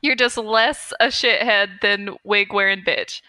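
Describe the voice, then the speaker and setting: A woman's voice: mid-range voice, fairly even intonation, unhurried, pushed and bright, no echo. A woman co-hosting a podcast, chatting casually and comedically with playful banter. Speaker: podcast co-host.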